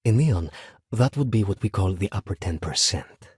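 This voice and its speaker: An actor playing a fussy, haughty luxury shopkeeper in a sci-fi video game, with a refined, expressive and snippy delivery. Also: male voice, low-pitched, normal speed, breathy edge, dry recording, studio quality, heavily acted. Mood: polished, courteous. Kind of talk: video game voice acting, short standalone dialogue lines.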